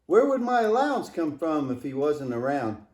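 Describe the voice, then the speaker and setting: Man as wisecracking kid puppet for church show: highish voice, playful exclamations, echoey room. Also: big pitch swings, normal speed, a little mellow. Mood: incredulous, cheeky. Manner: playful, joking, exaggerated exclamations. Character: Michael, cheeky, wisecracking, imaginative boy puppet.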